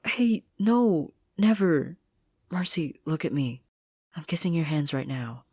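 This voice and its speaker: A woman's voice: low-pitched, animated, slow, a little mellow, slightly rough, no room sound, studio quality, voice pushed up, exaggerated voice. A woman voicing a cocky, protective and affectionate teenage girl in a story reading, with a teasing, confident manner. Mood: tender, reassuring.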